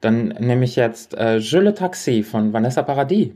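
A man's voice: medium pitch, lively intonation, normal speed, warm tone. A man co-hosting a casual podcast, chatting in a teasing, playfully blunt way. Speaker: podcast co-host. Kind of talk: casual banter chat.

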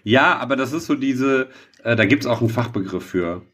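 Halting, casual man. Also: mid-range voice, lively intonation, fairly bright tone, breathy edge. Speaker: podcast co-host. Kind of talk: casual, rambling chat with a co-host.

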